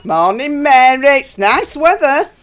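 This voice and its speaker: Actress as Yorkshire housewife, high swooping voice, slow, weary, dry grumbling, radio play. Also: female voice, very animated. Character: weary, put-upon married northern English housewife, unimpressed.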